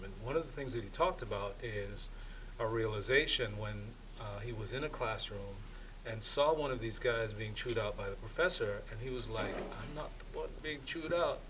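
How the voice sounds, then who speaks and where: A man speaking as a panelist, telling anecdotes in a conversational discussion. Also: on the low side. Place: live event panel. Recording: distant microphone, faint room noise.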